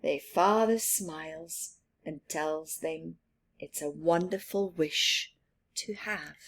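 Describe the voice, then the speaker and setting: A woman reading a children's picture book aloud, taking her time over every phrase. She is expressive, often drops into soft whispers and then lifts her voice again. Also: low voice, takes it slowly. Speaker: children's story reader.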